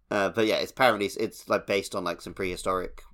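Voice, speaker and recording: A man with a fairly low voice talks casually as a podcast host. He chats about games in an informal, conversational way. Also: a bit bright. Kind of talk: casual gaming podcast chat.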